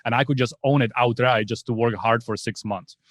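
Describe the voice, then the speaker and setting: A man in his forties with a Czech accent, telling his story in a relaxed, casual way as a podcast guest. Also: mid-range voice, a little fast, no room sound, studio quality. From Czech Republic. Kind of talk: conversational storytelling.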